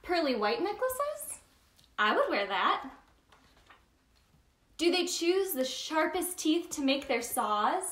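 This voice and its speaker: A woman playing a cheerful Tooth Fairy who hosts children's story time, talking straight to young listeners in an animated, sing-song way in a roomy, echoing space. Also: high voice, slow pace, pauses often, exaggerated voice. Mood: playful, wondering.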